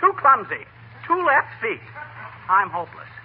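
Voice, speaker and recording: An actor playing a lazy, blustering husband in 1940s radio comedy, folksy and grumbling. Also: male voice, extremely high pitch, sing-song, a little slow, heavily acted. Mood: blustering, rueful. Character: blustering, lazy, reluctant husband, grudgingly truthful braggart. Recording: scratchy, tinny 1940 radio broadcast.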